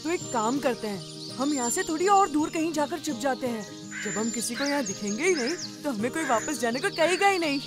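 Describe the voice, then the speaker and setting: Very high, timid little boy played by a woman. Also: animated, brisk, music in the background. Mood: scheming. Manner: childlike, pleading. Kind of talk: children's cartoon voice acting.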